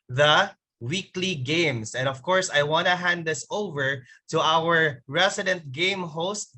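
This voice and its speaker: A man's voice: on the high side, sharp tone. A man from the Philippines hosting an online masterclass in an upbeat, formal manner. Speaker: host, founder of the organizing group. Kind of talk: webinar hosting.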